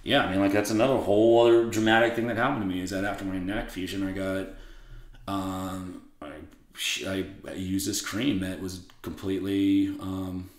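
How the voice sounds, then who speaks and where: A man with a raspy voice, a podcast guest talking bluntly and swearing freely in casual conversation. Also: deep voice, flat delivery, moderate pace. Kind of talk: casual interview chat. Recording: roomy echo.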